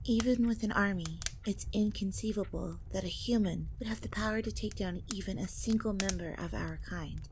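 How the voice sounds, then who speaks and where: An earnest young lady of a noble vampire family, voiced by a woman for an audio drama. Her medium-pitched voice is formal and questioning, full of disbelief. The recording carries some noise. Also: moderate pace, few pauses, close to an everyday voice. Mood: disbelieving. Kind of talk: scripted audio drama acting.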